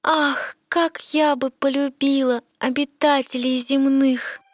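Wistful mermaid girl of fifteen, high and unhurried, dreamy fairy-tale verse. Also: fairly even intonation. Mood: wistful longing. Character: wistful fifteen-year-old mermaid princess, girlish and dreamy.

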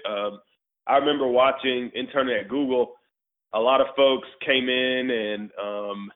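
A man speaking as an interview guest, relaxed and slangy, sprinkling his talk with "you know". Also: medium pitch. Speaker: guest, tech community founder. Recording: tinny phone-like line.

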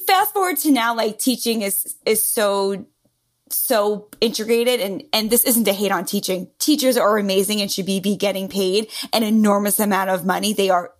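A woman from New York with a New York accent, a fitness entrepreneur chatting as a podcast guest, laughing often between quick asides. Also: mid-range voice, fairly bright tone. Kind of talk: casual interview talk.

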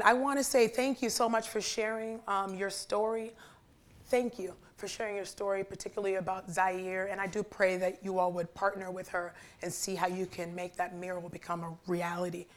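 A young woman with a raspy, hoarse voice, preaching and praying in church and talking easily with the congregation. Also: mid-range voice, fairly even intonation, moderate pace. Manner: chatty and warm with the congregation, asking for responses. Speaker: guest preacher. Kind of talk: sermon with prayer. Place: church sanctuary.

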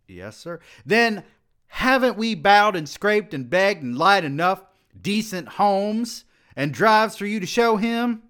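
A man voicing an older male college president who mocks and then shouts in outrage. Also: fairly low pitch, big pitch swings, slow, heavily acted. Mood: furious.

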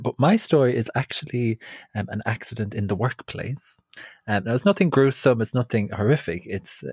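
Husky, low-voiced Irish man. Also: a little mellow, dry recording, clean studio sound. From Ireland. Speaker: podcast co-host, storyteller. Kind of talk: casual chat and personal anecdote.

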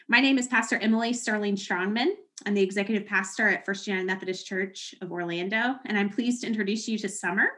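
A woman's voice: medium pitch, fairly bright tone. A woman pastor hosting a church podcast, introducing and interviewing a guest in a friendly, loosely worded way. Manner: friendly, hedging with you know and fillers. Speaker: executive pastor, podcast host.

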